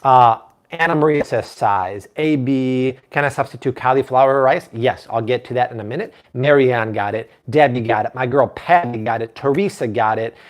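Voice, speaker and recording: A man's voice: mid-range voice, normal speed. Upbeat man hosting a live cooking stream. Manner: upbeat, chatty, talks to viewers and reads their comments. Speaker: cooking show host. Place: home kitchen.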